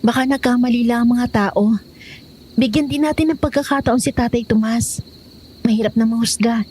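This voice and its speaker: A woman's voice: a little high, normal speed, warm tone, slight background noise, natural voice. A woman playing a polite, playful young expectant granddaughter in an audio horror drama. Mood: worried, hopeful. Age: young adult.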